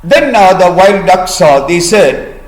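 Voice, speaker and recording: A man with an Indian accent telling a folk tale to listeners in a deliberate, teacherly way with pauses. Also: high voice, sing-song. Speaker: storyteller and host. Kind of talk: storytelling of a folk tale for listeners learning vocabulary. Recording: echoey room.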